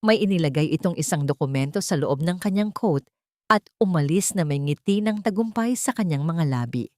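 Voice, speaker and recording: A woman's voice: fairly low pitch, expressive, brisk, dark tone, no echo, clean studio sound. A woman reading a scripted story as an audiobook narrator, in a dramatic storytelling style.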